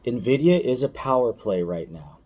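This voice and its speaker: A man's voice: medium pitch, big pitch swings, normal speed, mellow. A man giving casual, opinionated finance commentary for online viewers, speaking emphatically, repeating key points and addressing the listener directly.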